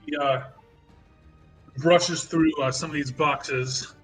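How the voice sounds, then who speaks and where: A man's voice: a little high, steady tone, slow, faint music behind, low-quality recording. A man playing a tabletop role-playing game, thinking aloud about tactics and describing his character's attacks. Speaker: player. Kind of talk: casual play over voice chat.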